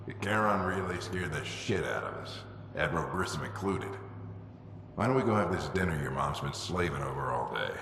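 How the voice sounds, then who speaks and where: An actor playing a gruff, middle-aged father and veteran explorer in a video game, speaking in a measured, reflective way. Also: extremely low pitch, animated, fairly bright tone, exaggerated voice. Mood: wry. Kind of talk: video game cutscene acting. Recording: echoey reverberant room, faint background noise.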